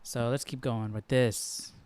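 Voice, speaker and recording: A man's voice: mid-range voice, steady tone, a little slow, a little mellow, clear, resonant tone. A man talking informally as a Bible study host, thinking aloud and often checking in with the listener. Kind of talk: casual chat, informal Bible commentary.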